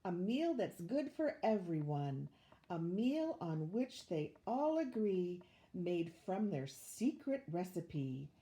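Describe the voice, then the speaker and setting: Older woman, animated, mellow storybook reader. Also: fairly low pitch, slow pace. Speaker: storybook reader, retired teacher. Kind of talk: expressive read-aloud of a rhyming children's story.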